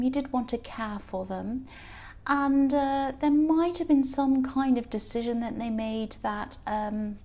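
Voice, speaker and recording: Tarot reader, woman, slightly high voice, croaky and tired; rambling, earnest, reassuring, lots of ums and restarts. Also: mellow. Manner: rambling, hesitant, many fillers and restarts. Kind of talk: casual tarot reading to camera.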